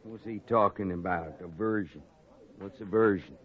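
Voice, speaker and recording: Man as low-voiced, dim Western outlaw. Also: normal speed, natural voice. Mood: puzzled, sullen. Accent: American. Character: slow-witted, sullen outlaw sidekick of the Old West. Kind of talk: 1950s radio western acting. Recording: muffled old radio recording.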